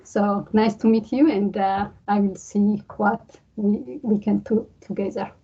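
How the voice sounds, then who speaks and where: A woman's voice: mid-range voice, steady tone, unhurried, mellow, husky. A woman from Ethiopia introducing herself on a video call, hesitant, with many fillers and restarts. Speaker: course participant. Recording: dull lo-fi call audio.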